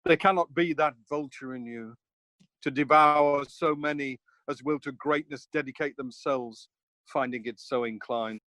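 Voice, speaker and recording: Radio Shakespeare: loyal patriot nobleman male actor, mid pitch, earnest declamation, dry acoustic. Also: a little slow, a bit bright, no echo, natural voice. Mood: counselling, earnest. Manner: earnest, declamatory verse delivery. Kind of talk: radio play Shakespeare acting.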